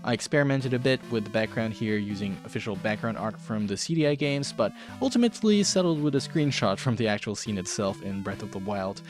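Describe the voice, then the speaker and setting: A man's voice: lowish voice, expressive, fairly quick, hardly any pauses. A man hosting a casual, narrated art tutorial in a chatty, informal, self-deprecating way. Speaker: host of an art tutorial show. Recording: background music under voice. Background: music.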